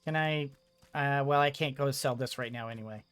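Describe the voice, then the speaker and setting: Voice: mid-range voice, moderate pace, fairly bright tone, faint music behind. A video game streamer giving casual commentary, thinking aloud in a hesitant, rambling way.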